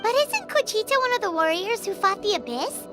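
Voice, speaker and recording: A woman's voice: very high voice, big pitch swings, a little fast. A woman voicing a small childlike companion sprite, earnest and curious, in a video game, with music playing. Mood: concerned. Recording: loud music over the voice.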